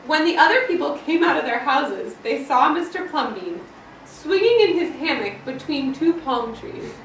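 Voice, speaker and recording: A high-voiced woman teacher reads a picture book to young pupils with lively, swooping expression. She leans on key words in a dramatic storytelling manner. The recording is roomy and distant. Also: normal speed, a little hiss or hum. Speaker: elementary school teacher. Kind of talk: expressive picture-book read-aloud to a class. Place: indoor school classroom, with children present.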